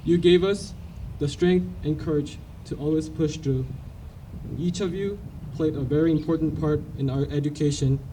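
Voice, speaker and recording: Earnest young Korean cadet, distant. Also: male voice, on the high side, dark tone. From South Korea. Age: young adult. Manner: earnest, halting with frequent restarts. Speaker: salutatorian cadet. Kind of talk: graduation speech. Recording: distant mic, light noise.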